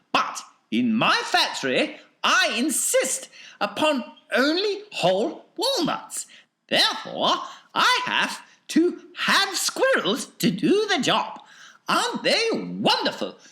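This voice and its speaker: Storybook: excitable eccentric inventor, very high and bright, slow and emphatic. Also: male voice, exaggerated voice. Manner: eager, insistent, explaining. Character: excitable eccentric man, factory owner. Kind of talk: audiobook character voice.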